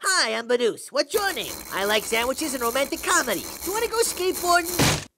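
A man's voice: very high voice, very animated, brisk, heavy background noise, full character voice. A man voicing a naive, friendly, overeager cartoon creature with a gushing, childlike manner. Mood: eager.

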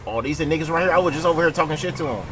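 A man's voice: a little high, racing, noisy background. A young American man talking in profane, slangy game roleplay with a brash, emphatic manner. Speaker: streamer playing a gang member in online roleplay. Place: indoor gaming setup. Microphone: headset.

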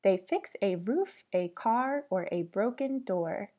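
A woman reads aloud like a teacher with young children, in a soft, mellow voice. Her pitch swoops in a sing-song way, and she takes her time, stopping to ask questions. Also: expressive, slow pace. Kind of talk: interactive storybook read-aloud for young children.

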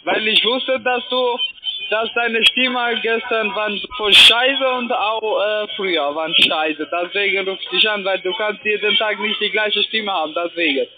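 High-pitched non-native man, confrontational on phone. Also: a little slow. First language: not German. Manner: confrontational, insulting. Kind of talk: argumentative phone call. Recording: tinny phone line with music.

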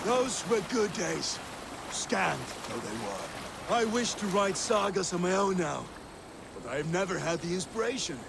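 An actor playing a battle-worn Viking warrior-poet, telling sagas in a grandiose, poetic manner in a video game, with water and boat noise behind. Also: male voice, high-pitched, big pitch swings, unhurried, vocal fry, heavily acted. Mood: earnest, wistful. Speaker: storyteller. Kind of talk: dramatic video game monologue.

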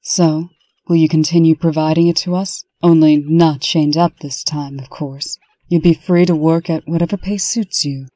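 A woman voicing a reserved, authoritative fairy-tale princess in a comic dub, with measured, formal delivery. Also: low voice, steady tone, dark tone, dry recording. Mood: businesslike.

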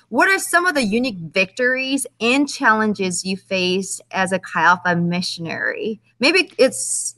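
Bright, affirming woman host guiding a panel on a video call. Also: on the high side. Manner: encouraging, affirming. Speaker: panel moderator. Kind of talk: hosting a panel discussion.

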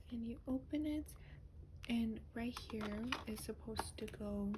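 A woman speaking softly and breathily, often whispering, in a gentle, unhurried ASMR show-and-tell. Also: fairly high pitch. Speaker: doll collector showing her collection. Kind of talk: soft-spoken ASMR product show-and-tell. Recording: noisy lo-fi ASMR recording.

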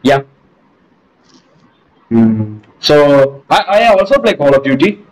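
A man's voice: mid-range voice, big pitch swings, slow pace, low-quality recording. A young man with a Malayali English accent chatting casually on a video call, playful and teasing, with lots of hesitant fillers. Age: young adult. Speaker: video chat prankster. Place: small room, indoors.

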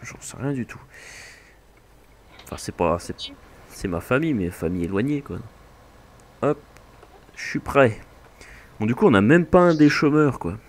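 A man's voice: medium pitch, moderate pace, pauses often. A man from France hosting a co-op farming game session, chatting casually and joking with his co-player. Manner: relaxed, joking banter. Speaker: gaming channel host. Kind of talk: casual co-op gameplay chat.

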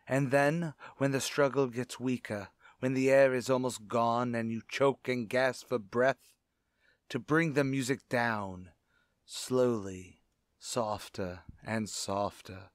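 A man playing a sinister, gloating organist and murderer in an old-time radio suspense play, speaking in a deliberate, theatrical way. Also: medium pitch, steady tone, dry recording, studio quality, natural voice. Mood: gloating, cold. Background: music.

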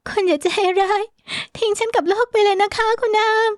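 A woman voicing a tearful, tender young wife in a romance audiobook, speaking in a soft, pleading way. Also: extremely high pitch, steady tone, a little fast, no room sound, studio quality, pitched above the performer's own voice.

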